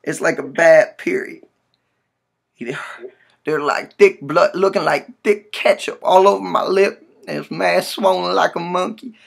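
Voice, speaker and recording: A man's voice: sits high, fairly even intonation, measured pace, gravelly, heavily acted. A man in his twenties playing a hurt, naive young man on a prank call, whining, pleading and stammering as he repeats himself. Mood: distressed, whiny. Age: 20s. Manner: whiny, pleading, repetitive, stammering. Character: hurt, frantic, naive young man with bleeding swollen lip. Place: small room indoors, at a desk.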